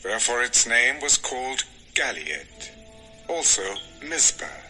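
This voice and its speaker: A man's voice: mid-range voice, very animated, slow, bright, forceful tone, soft background music, poor audio. A man narrating a dramatized scripture story in a scripted storytelling style.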